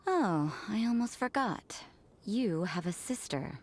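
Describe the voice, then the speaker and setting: A woman's voice: mid-range voice, expressive, slow pace. An actress playing a cool, sardonic young woman in a video game, with a dry, teasing, aloof manner. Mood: wry.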